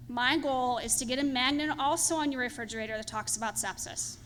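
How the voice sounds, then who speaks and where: A woman's voice: high voice, fairly quick, fairly bright tone. Earnest woman speaking in a hall. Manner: earnest, filler-heavy presenting. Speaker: hospital panelist. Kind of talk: conference panel remarks. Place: conference hall. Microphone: handheld microphone. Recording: echoey hall, faint noise.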